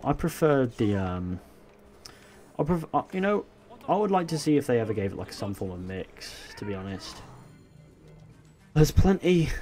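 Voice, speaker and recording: A man's voice: mid-range voice, a little mellow. A young man, chatting casually and answering chat questions while playing a game live. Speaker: gaming livestreamer.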